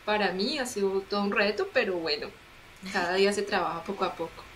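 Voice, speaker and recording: Woman guest on a podcast, calm and thoughtful, picks her words carefully. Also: mid-range voice, a little hiss or hum. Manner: reflective, measured explaining. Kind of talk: casual podcast chat.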